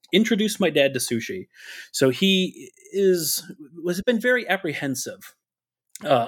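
Halting man sharing a personal story. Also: mid-range voice. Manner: halting, many restarts and fillers. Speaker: podcast co-host. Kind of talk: casual chat.

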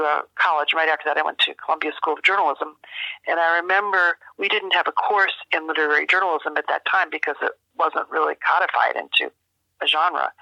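An older woman giving a reflective interview, speaking thoughtfully and haltingly with careful phrasing. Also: deep voice, bright, forceful tone, dry recording, dull audio. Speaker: interview guest, journalist and author.

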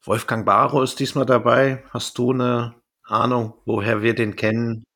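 A man's voice: medium pitch, little pitch movement, normal speed, fairly bright tone. A man co-hosting a podcast in a casual, conversational style. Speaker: podcast co-host. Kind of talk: casual podcast talk, presenting a cast list.

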